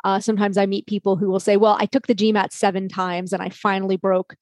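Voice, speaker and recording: Measured woman panelist, dry sound. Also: medium pitch, flat delivery, a little mellow, no room sound. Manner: measured, thinks aloud with hedges. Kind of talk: casual panel discussion.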